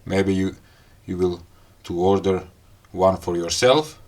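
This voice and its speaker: A man with a non-native English accent giving a casual hands-on gadget demo in short, halting phrases. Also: low-pitched, darkish tone. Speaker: gadget review host. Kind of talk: casual hands-on unboxing and product demo talk.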